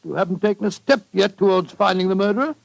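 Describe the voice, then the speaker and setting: Radio-play sidekick: sputtering older English doctor, high-pitched gravelly voice, loyal and baffled, vintage broadcast sound. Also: male voice, fast talker. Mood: puzzled, grumbling. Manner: blustering, sputtering. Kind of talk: 1940s radio drama acting.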